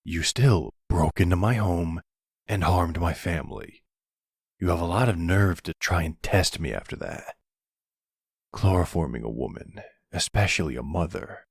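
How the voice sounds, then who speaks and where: A man's voice: sits low, lively intonation, normal speed, vocal fry, breathy edge, no echo, studio quality, heavily acted. A man playing a tough, sardonic older mafia boss and protective boyfriend, threatening and streetwise, in an ASMR roleplay drama. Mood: angry, threatening.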